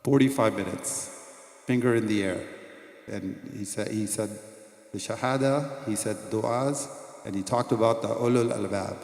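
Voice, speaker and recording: A doctor giving a mosque lecture, telling personal stories in an earnest way that turns quiet and reverent at moments. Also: male voice, fairly low pitch, moderate pace, vocal fry. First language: not Arabic. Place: mosque hall. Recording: echoey hall, long reverb.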